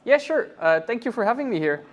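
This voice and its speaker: A man from Belgium, a cloud architect, answering interview questions thoughtfully with many pauses and fillers. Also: very high voice, sing-song. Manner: thoughtful, many ums and uhs. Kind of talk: conference interview.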